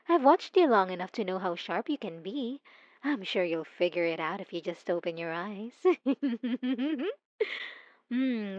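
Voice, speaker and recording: Obsessive stalker girl played by a woman, soft and teasing, higher voice, slow, dry dull lo-fi audio. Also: dry recording. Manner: soft, intimate, teasing with giggles. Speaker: narrator speaking to the listener. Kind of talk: ASMR roleplay.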